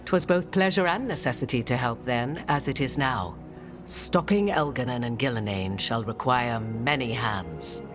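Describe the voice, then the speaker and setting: An actress playing an arch, enigmatic sorceress in a video game, with haughty, theatrical, archaic phrasing. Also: female voice, extremely low pitch, normal speed, over music. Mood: wry, courtly.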